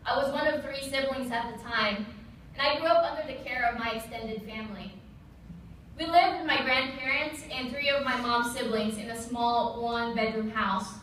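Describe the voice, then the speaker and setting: A young woman from the Philippines, a Tagalog speaker, gives a measured prepared speech as an Army officer. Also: fairly high pitch, bright, forceful tone. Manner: measured, reads from a prepared text. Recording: echoey hall, distant mic, faint room noise.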